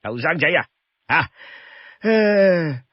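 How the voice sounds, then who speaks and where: A man voicing an easygoing, money-minded old townsman in a Cantonese story, chatty and full of drawn-out interjections. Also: on the high side, lively intonation, no room sound, muffled recording. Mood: proud, chatty.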